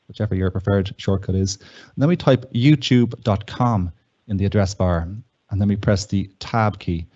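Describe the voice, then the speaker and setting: A man's voice: fairly low pitch, warm tone, muffled recording. A man presenting tech tips as a tutorial, explaining plainly and methodically, step by step.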